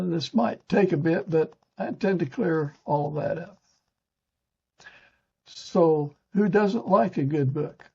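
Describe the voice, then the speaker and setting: An older man hosting a podcast, reading scripted narration from a teleprompter in a stiff, halting way. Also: fairly high pitch, vocal fry, warm tone, breathy edge. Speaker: podcast host. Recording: dull, lo-fi sound.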